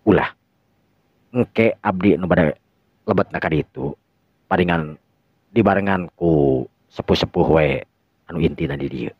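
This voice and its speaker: A man playing a composed, resolute young village hero in a radio drama, calm, measured and respectful. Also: deep voice. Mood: firm, instructing. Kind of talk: Sundanese radio drama acting. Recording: dull lo-fi recording with echo.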